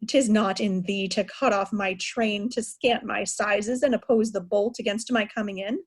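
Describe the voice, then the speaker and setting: A woman's voice: mid-range voice, fairly even intonation, natural voice. A woman playing a proud aging king, raging at ungrateful daughters in a declamatory Shakespearean style. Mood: pleading. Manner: declamatory, deliberate, anguished. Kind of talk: Shakespearean reader's theatre acting.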